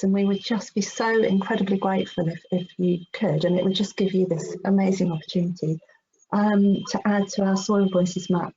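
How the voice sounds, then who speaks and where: A middle-aged woman talks informally to a workshop, hesitant but encouraging. Her voice is lowish and soft-edged, on a dull call recording with faint noise. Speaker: workshop presenter. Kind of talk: informal online workshop talk. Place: online video call. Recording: dull, noisy online call audio.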